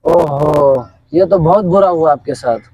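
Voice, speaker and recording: A man's voice: on the high side, warm tone, muffled recording, natural voice. An actor playing a kindly, dutiful younger man in an audio drama, earnest and respectful. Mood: sympathetic, earnest. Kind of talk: Urdu moral audio drama acting.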